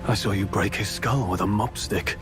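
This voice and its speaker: A man playing a bitter old friend in a dramatic game story, grave, measured and reproachful, over music. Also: lowish voice, lightly acted. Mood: bitter, accusing. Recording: music underneath.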